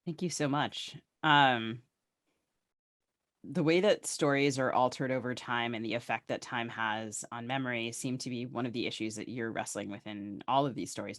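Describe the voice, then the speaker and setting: A man's voice: mid-range voice, a bit bright. A man hosting a literary interview, reflective and careful, repeating phrases as he works toward his question. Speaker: podcast host interviewer. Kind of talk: thoughtful literary interview talk.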